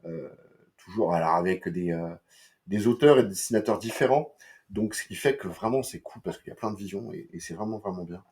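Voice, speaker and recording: A man from France talks through comics in a loose, rambling way, tossing in slang and swear words between fillers. His voice sits on the low side. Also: fairly quick, darkish tone. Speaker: comics reviewer. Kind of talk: casual crude-talking comics review.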